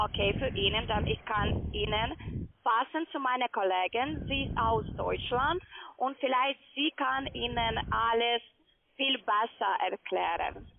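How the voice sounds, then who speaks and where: A woman with a foreign accent and halting, broken German, pitching as a call centre sales agent. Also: creaky. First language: not German. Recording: narrow crackly phone line, noisy.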